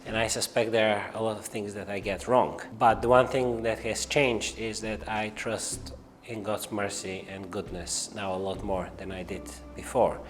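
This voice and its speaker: Bulgarian-accented male lecturer in his forties, measured and hesitant, long reverb on the voice. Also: on the low side, little pitch movement. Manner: thoughtful, hesitant, frequent pauses and fillers. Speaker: Old Testament lecturer. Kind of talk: reflective personal testimony. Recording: reverberant room with faint music behind.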